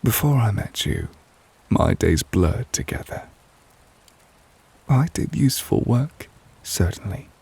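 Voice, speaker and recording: A man playing a devoted, tender but possessive lover in a romantic ASMR roleplay, speaking softly and intimately in a measured, wistful way, close to the microphone. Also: lowish voice, lively intonation, heavily acted. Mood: tender, reflective. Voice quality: soft, breathy, husky. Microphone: close, dry microphone.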